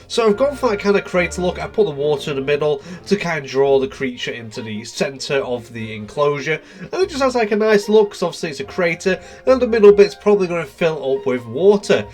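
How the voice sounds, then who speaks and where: Man, congested from a cold, slightly higher voice, chatty game voiceover, soft music underneath. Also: sing-song, brisk. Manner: chatty and easygoing. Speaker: gaming video creator. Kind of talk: game build voiceover commentary.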